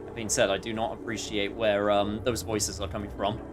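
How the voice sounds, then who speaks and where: A man's voice: lowish voice, little pitch movement, fairly quick, background noise. A man doing casual let's play commentary, thinking aloud and reacting wryly.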